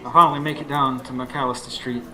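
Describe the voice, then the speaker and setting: A man's voice: medium pitch, level tone, fast talker, bright, forceful tone, close to an everyday voice, poor audio. A man acting in a radio drama as a weary, haunted working-class man who narrates his troubles in folksy speech. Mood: weary, brooding. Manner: folksy, colloquial. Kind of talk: radio drama first-person narration and dialogue. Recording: faint noise, live stage recording.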